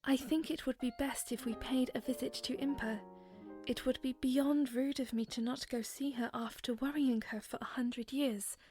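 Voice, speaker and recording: Girl doing anxious young princess, high voice, soft and self-doubting. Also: steady tone, moderate pace, slightly rough, soft background music. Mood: earnest. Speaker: voice actor. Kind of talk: fan audio drama acting.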